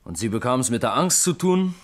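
An actor playing an eager, sceptical junior police detective in a German radio crime drama, asking pointed questions. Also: male voice, medium pitch, sing-song, fast, natural voice. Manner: probing, pointed.

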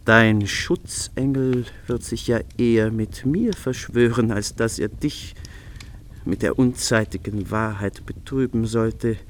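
An actor playing a remorseful, secretly tender schemer in a literary radio play, brooding theatrically and at times dropping into a whisper. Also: male voice, mid-range voice, slight background noise, close to an everyday voice. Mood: tender, guilty. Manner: slow, brooding, theatrical soliloquy with whispered phrases.

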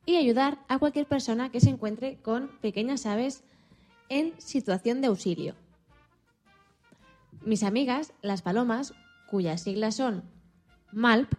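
A woman's voice: fairly high pitch, a little mellow. A woman co-hosting a radio show, reading scripted segments.

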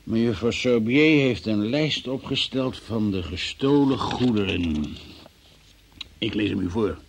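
An actor playing a formal, businesslike examining magistrate in a Dutch-language radio play. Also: male voice, fairly low pitch, measured pace, gravelly, slightly rough, full character voice. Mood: official, matter-of-fact. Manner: formal, official, procedural phrasing. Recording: dull, muffled old radio recording.

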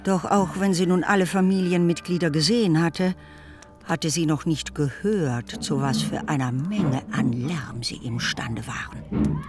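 A woman's voice: low-pitched, a little slow. A woman reading scripted literary narration as the narrator of a radio drama. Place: studio. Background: music.

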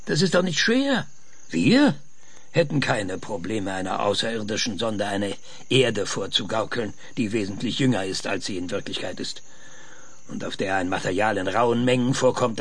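An actor playing an impatient, sarcastic older scientist in a German radio play, lecturing emphatically with rhetorical questions. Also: male voice, lowish voice, expressive, moderate pace, slightly rough. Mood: insistent.

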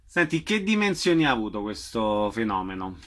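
A man's voice: fairly low pitch, big pitch swings, normal speed, a bit bright, a little hiss or hum. A man hosting a radio interview programme, putting questions to guests and announcing dates.

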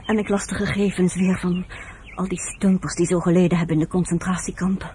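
A woman's voice: lowish voice, flat delivery, fairly quick, dark tone, a little hiss or hum, natural voice. An actress playing an earnest, conscientious woman in a Dutch radio drama, speaking in a hesitant, confiding, emotional way. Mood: hesitant, confessing. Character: earnest, conscientious woman caring for camp survivors.

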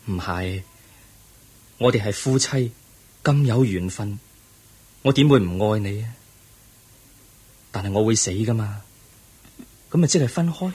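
An earnest, husky-edged young Cantonese prince. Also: male voice, on the low side, animated, a little slow, long pauses, a little mellow. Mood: solemn, insistent. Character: earnest young crown prince seeking release from death. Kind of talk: radio drama acting.

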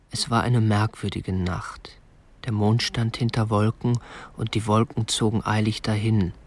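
An actor playing a meek, obsessive young kidnapper who narrates a radio play in a restrained, earnest, stiffly polite way. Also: male voice, lowish voice. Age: young adult. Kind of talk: radio drama narration and dialogue.

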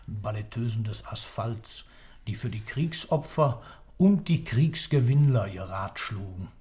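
A man's voice: medium pitch, darkish tone, close to an everyday voice. An actor playing an accused man who tells a story in an intense, theatrical, emphatic way, sometimes dropping to a whisper, in a literary radio play.

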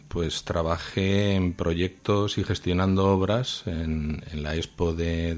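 Low-voiced man with a Madrid accent. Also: a little mellow. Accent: Madrid Spanish. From Madrid, Spain. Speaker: interviewed guest, new radio show contributor. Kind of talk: informal radio interview.

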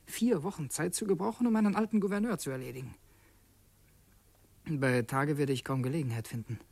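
A brooding, secretive assassin in a radio play. His voice sits fairly high and is husky and breathy, caught dry and close. He speaks in intense, introspective monologue, questioning himself. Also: fairly quick, darkish tone, no room sound. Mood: agitated, calculating. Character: brooding young male conspirator and would-be assassin, torn and secretive. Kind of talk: radio drama monologue, confessional narration.

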